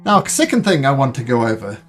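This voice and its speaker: A man's voice: medium pitch, sing-song, fast, fairly bright tone, soft background music. A man from New Zealand with a New Zealand accent tinged with North American, speaking earnestly as dungeon master over a voice call, with frequent ums and restarts. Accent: New Zealand mixed with North American. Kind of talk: explaining game rules to players. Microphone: voice call microphone.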